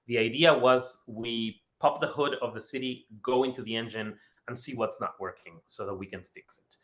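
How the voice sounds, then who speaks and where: A man's voice: mid-range voice, a bit bright. Enthusiastic man from Ecuador presenting. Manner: enthusiastic, repeats words for emphasis. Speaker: guest speaker. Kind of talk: webinar presentation. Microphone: video call.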